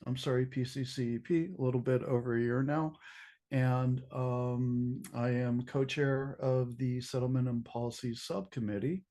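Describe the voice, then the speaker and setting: A man with a cold and a hoarse voice chairs a public meeting over a video call, halting with many fillers. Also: medium pitch, steady tone, mellow. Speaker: subcommittee co-chair.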